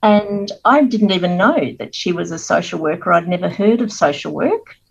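A middle-aged Australian woman, a guest author telling her life story in an earnest, measured interview manner. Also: fairly low pitch, animated, gravelly, breathy edge, muffled recording.

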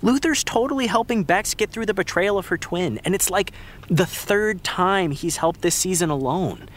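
A man's voice: sits high, normal speed, lightly acted. A young American man acting as a laid-back, supportive friend in a fiction podcast, with casual, teasing, slangy delivery. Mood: tentative, earnest. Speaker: voice actor. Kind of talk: naturalistic fiction podcast dialogue.

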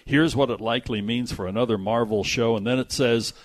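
A man's voice: medium pitch, brisk. A man hosting a movie show, chatting casually and reading out entertainment news with conversational asides. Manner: conversational, reads news items aloud with asides.

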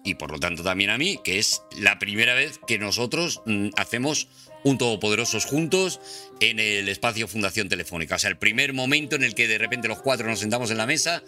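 A man's voice: on the low side, expressive, brisk, pushed and bright, faint music behind. A man with a La Mancha accent, co-hosting a chat show, grumpy and self-mocking, now and then breaking into song. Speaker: podcast co-host answering questions. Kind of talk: casual banter.

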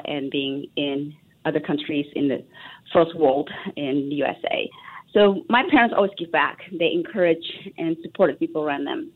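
A woman raised in Texas, answering a radio interviewer in an earnest, reflective way with many fillers and restarts. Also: deep voice. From Indonesia, raised in Texas. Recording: tinny phone line.

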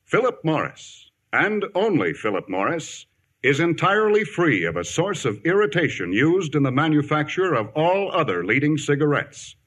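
A man's voice: a little high, very animated, moderate pace, fairly bright tone, husky. A man announcing a 1950s radio commercial in an emphatic, formal sales style. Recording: vintage 1950s radio broadcast.

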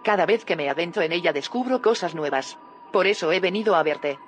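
A woman's voice: lowish voice, lively intonation, fairly quick, faint music behind, lightly acted. An actress playing an earnest, probing woman in an audio drama, serious and inquisitive. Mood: confronting, intent. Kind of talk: audio fiction drama acting.

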